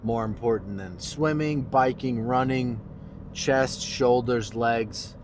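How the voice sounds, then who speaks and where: A man's voice: medium pitch, slow pace, noisy background. A man with a congested, nasal voice, chatting in a joking, boastful way as a fitness vlogger while driving. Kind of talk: casual vlog while driving. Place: car.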